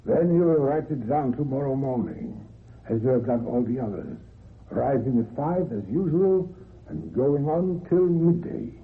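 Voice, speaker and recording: An actor playing a stern, controlling old grandfather who speaks deliberately and insistently in a radio drama. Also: medium pitch, big pitch swings. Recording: tinny narrow-band old radio recording.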